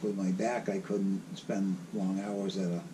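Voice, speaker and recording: An older man with a raspy voice, recalling his past in a halting, rambling way as the guest of an interview. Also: low-pitched, little pitch movement, background noise. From South Carolina. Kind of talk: casual reminiscing.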